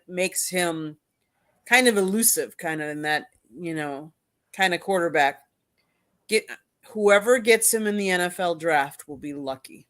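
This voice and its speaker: A woman with a slightly low voice chats casually as co-host of a sports show. Her delivery is conversational and unscripted.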